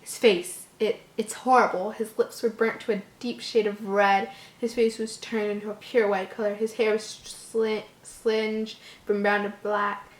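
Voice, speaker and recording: A woman's voice: mid-range voice, flat delivery, measured pace. A woman reading a horror story informally, stumbling over words and breaking off into amused, incredulous asides. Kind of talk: informal story read-aloud with joking asides. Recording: roomy, echoing home recording.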